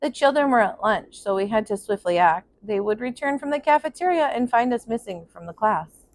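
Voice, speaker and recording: High-voiced woman reading a children's storybook. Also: expressive, fairly quick. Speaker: kindergarten teacher, storybook reader. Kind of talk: expressive children's read-aloud.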